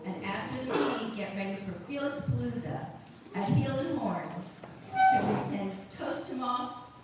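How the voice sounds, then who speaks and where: A woman with a fairly high voice runs through event reminders in a brisk, practical way, repeating the key points. She sounds far from the mic in a strongly echoing hall, with background noise. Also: big pitch swings. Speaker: club member making announcements. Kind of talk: event announcements. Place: large echoey meeting room.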